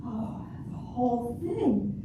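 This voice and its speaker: A woman's voice: fairly high pitch, sing-song, slow pace. An older woman playing a gushing, starry-eyed young fan of a fairy tale in an amateur stage reading, drawing out her words. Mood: dreamy, swooning. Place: echoey room at a retirement community event. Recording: very echoey room, distant mic, hissy noise.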